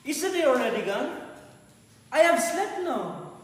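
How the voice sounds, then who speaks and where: A man's voice: extremely high pitch, sing-song, measured pace, full character voice. A man playing a bewildered, loving husband waking from death, wondering aloud in a reader's theater play. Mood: dazed, tender. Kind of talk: reader's theater stage acting. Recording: very echoey hall, long reverb.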